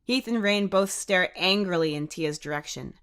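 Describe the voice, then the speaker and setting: Brief: female audiobook narrator, slightly low register, scripted third-person storytelling. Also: moderate pace, fairly bright tone, clear, resonant tone.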